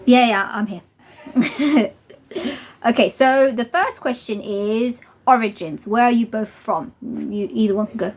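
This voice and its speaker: A woman asks the questions from behind the camera in a casual home Q&A, keeping her tone playful and teasing. Her voice is slightly high, and she sits close to the camera mic. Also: unhurried. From Somali family.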